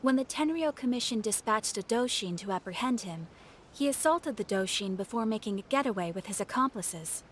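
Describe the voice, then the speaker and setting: A woman's voice: on the high side, expressive, a little hiss or hum, close to an everyday voice. A woman voicing a businesslike guild receptionist in a video game, with a formal, courteous manner. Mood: explanatory, composed. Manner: formal, courteous, measured phrasing. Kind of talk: scripted game character dialogue. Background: music.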